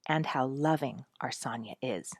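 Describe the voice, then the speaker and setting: Woman reading as a gentle, earnest, protective father. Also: rumbling low, unhurried, slightly rough, dry recording, studio quality, voice pushed down, heavily acted. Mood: tender. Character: quiet-spoken, protective, proud husband and father.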